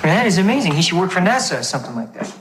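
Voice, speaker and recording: A man's voice: high voice, very animated, very fast, in a large hall, background noise. A man acting in film drama dialogue, playing a character talking with and about a patient. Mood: animated.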